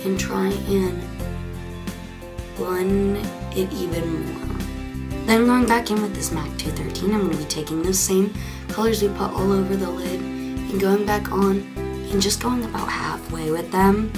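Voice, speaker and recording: A young woman with a hoarse, raspy, congested voice, chatting casually through a makeup tutorial with plenty of fillers. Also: medium pitch, measured pace. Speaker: beauty vlogger. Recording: clear background music.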